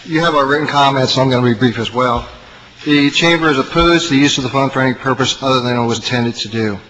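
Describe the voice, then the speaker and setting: A man's voice: medium pitch, fast, lo-fi sound. A man delivering a prepared statement as a witness at a legislative hearing. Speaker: business chamber representative testifying. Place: legislative committee hearing room. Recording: hearing audio with faint noise.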